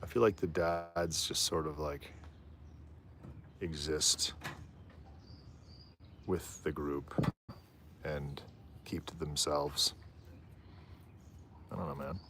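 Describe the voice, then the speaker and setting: Low-voiced easygoing man. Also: fairly even intonation, slow pace, long pauses, a bit bright, slight background noise. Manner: rambling, easygoing, often trails off and laughs. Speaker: livestream host. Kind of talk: casual live commentary. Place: outdoors on a rooftop.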